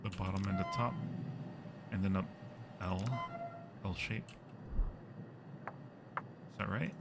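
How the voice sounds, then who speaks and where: Guy streaming a horror game, slow and jokey, talks to himself, noisy background. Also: sits low, steady tone. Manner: playful, jokey, muttering to himself. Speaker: live streamer. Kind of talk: casual horror game commentary.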